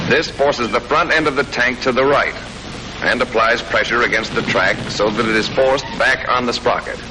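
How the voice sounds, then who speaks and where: An old, noisy film soundtrack carries an American man's mid-pitched voice over hiss and vehicle noise. He narrates like a 1940s military instructional reel, laying out steps in a matter-of-fact, instructive way.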